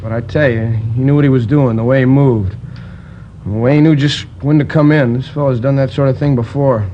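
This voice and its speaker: Rambling, indignant actor as a store manager. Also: male voice, mid-range voice, fairly even intonation, a little fast, slight background noise, natural voice. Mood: recounting. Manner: chatty, rambling, indignant with hesitations. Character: talkative, indignant male liquor store manager. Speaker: store manager, robbery victim. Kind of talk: 1950s radio crime drama acting. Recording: dull old radio transcription.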